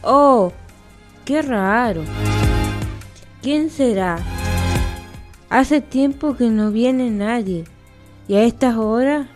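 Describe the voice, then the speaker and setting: A woman playing a poor, kind old village woman in an amateur radio play, musing in a slow, drawn-out way. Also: on the high side, faint music behind. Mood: puzzled.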